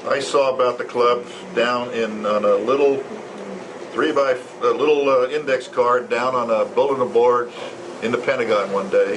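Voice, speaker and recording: An older man reminiscing informally to a gathering as a long-time club member, halting and pausing as he goes. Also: medium pitch. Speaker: founding member of a hobby club. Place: restaurant luncheon room. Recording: noisy room, air conditioning hum.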